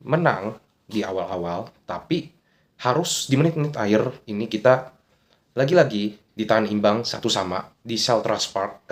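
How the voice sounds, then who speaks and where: Man, young adult, low-pitched, blocked nose, casual vlog chatter. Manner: casual slangy talk mixed with English words, thinking aloud. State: stuffy nose from a cold. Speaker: football vlogger. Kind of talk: casual solo commentary.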